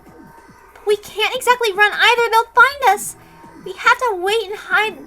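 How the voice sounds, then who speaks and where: A woman's voice: extremely high pitch, measured pace, sharp tone, faint music behind, pitched above the performer's own voice, full character voice. A woman voicing an urgent young fugitive giving orders in a horror story reading. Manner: commanding, urgent.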